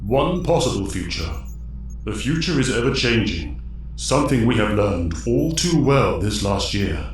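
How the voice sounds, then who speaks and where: A man voicing an ancient, wise, fatherly mentor spirit in an audio drama, speaking formally and calmly with a booming echo. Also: slight background noise. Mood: calm, pondering. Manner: solemn, formal, measured. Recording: heavy echo, music underneath.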